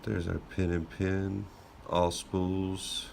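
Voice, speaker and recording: A man recovering from a cold, hosting his own channel and talking through his work in a relaxed, thinking-aloud way. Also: low-pitched, drawn-out words, vocal fry, slight background noise. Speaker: lockpicking channel host. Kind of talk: casual hands-on narration.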